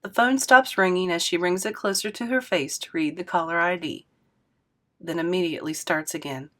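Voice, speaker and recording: A woman's voice: on the low side, moderate pace. Woman reading a novel aloud. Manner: steady, expressive storytelling. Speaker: read-aloud host.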